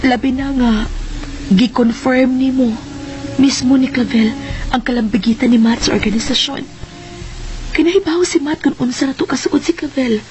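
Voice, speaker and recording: A woman's voice: high voice, moderate pace, pauses often, a little mellow, soft background music, close to an everyday voice. An actress playing an earnest, anxious woman confiding in someone in a radio drama, with emphatic delivery. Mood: anxious, urgent.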